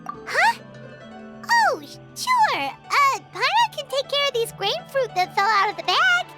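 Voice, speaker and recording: Squeaky, excitable little fairy companion, very high. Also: female voice, unhurried, loud music behind the voice, full character voice. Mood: reluctant. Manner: speaks of self in third person. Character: small childlike fairy companion, excitable, curious, chatty. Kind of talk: video game voice acting.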